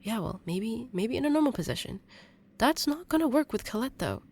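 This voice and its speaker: A woman playing a defensive, stubborn young occultist in an audio drama, speaking informally in halting challenges. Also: on the high side, very animated, a little mellow, slightly rough, full character voice.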